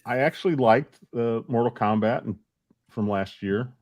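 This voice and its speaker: A man's voice: medium pitch, normal speed, darkish tone, dry recording. An American man co-hosting a podcast, chatting casually with a group. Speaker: podcast co-host.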